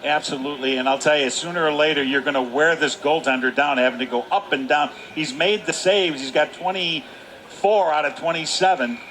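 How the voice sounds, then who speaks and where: A man's voice: a little high, a little fast, fairly bright tone, slight background noise. An American man giving live color commentary on a hockey game, in an emphatic, folksy way that repeats its points. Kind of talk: live sports analysis.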